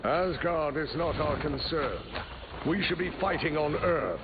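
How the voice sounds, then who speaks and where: Blunt hero fighter for a game, played by a man: impatient, raised pitch, dull recording. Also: unhurried, noisy background, natural voice. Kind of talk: video game dialogue.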